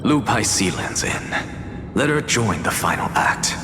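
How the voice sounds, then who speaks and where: An actor playing a proud, theatrical demigod scholar of reason in a video game, speaking in a measured, self-assured way. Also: male voice, fairly low pitch, very animated. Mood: coolly resolute. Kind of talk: dramatic game voice acting. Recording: echoey hall reverb with loud music. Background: loud music.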